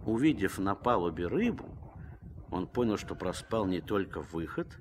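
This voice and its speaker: A man's voice: low-pitched, sing-song, normal speed, slight background noise. A man narrating a Russian radio play in a scripted storytelling style.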